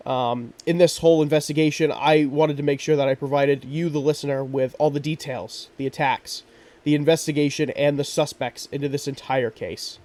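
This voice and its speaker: A man's voice: on the high side, steady tone, moderate pace, darkish tone, distant mic. Stuffy congested guy doing his true crime podcast, earnest, lots of ums. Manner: earnest, with frequent ums and restarts. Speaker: podcast host.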